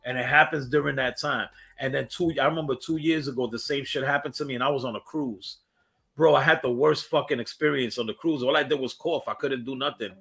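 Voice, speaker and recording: A man hosting a casual livestream, raspy and coughing, hyping up chat with sing-song shout-outs. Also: mid-range voice, fairly even intonation, brisk. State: coughing fits. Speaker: host. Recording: loud hip hop music behind. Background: hip hop music.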